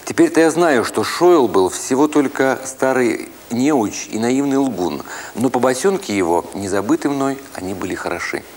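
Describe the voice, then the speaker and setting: A man reading a literary story as a narrator, expressively, with deliberate phrasing and pauses. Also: medium pitch, lively intonation, a little mellow, a little hiss or hum. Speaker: narrator, actor reading an audiobook.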